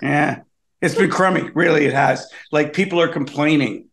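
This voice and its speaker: A man's voice: fairly high pitch, moderate pace, a bit bright. A man from Canada, a comedian guest on a video call, telling wry, complaining stories in a casual interview.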